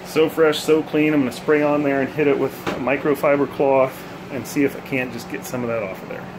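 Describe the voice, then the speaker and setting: A man's voice: mid-range voice, level tone, fairly quick, background noise. A man in his thirties casually demonstrating a homemade gadget in his kitchen, thinking aloud with plenty of ums and uhs. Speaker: bowling hobbyist vlogger. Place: home kitchen, indoors. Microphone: phone held at arm's length.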